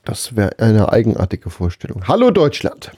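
A man's voice: fairly low pitch, wildly swooping pitch, moderate pace, dark tone, husky, no echo. A man co-hosting a podcast in casual chat, with a dry, joking manner. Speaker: podcast and radio co-host who runs the studio.

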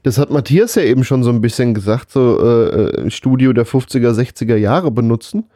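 A man's voice: medium pitch, fairly quick, mellow, dry recording, studio quality. A man chatting casually as a podcast co-host, with laid-back, wry joking. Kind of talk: casual chat, bantering Q&A.

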